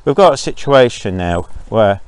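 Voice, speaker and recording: A man from Zambia with a slightly low voice talks into his own podcast while out walking his dogs. He rants his opinions in a loose monologue and often stops to restart a thought with an um. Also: expressive, normal speed, a little mellow, clean tone, no echo. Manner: opinionated and ranting, with frequent ums and restarts. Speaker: podcast host.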